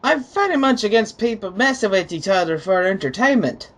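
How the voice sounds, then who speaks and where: A person with a voice around the low two hundreds in pitch reads written text aloud. The delivery is that of a dramatic reading shared among commentators. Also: expressive, fairly quick, a little hiss or hum. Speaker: reader in a group dramatic reading.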